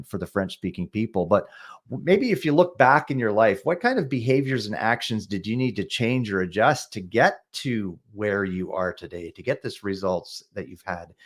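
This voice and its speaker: A man hosting a podcast interview, enthusiastic and encouraging toward his guest. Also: on the low side. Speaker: podcast host. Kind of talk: casual interview chat.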